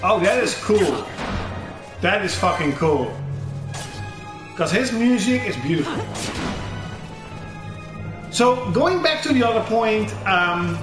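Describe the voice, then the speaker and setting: A man's voice: sits high, big pitch swings, takes it slowly, pauses often. A young Dutch man with a Dutch accent, rambling in a casual personal monologue and swearing often, with many fillers and restarts. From Netherlands. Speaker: gaming channel host. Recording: roomy echo with music underneath.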